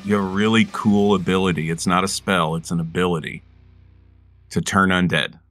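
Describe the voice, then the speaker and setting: A man's voice: low voice, steady tone, normal speed, a bit bright, no echo, faint music behind. A man playing a tabletop game, chatting casually about rules and tactics.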